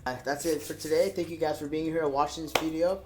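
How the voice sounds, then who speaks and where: A man's voice: fairly high pitch, little pitch movement, fast, background noise. A man co-hosting a video, speaking casually to the viewers. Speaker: reaction show co-host.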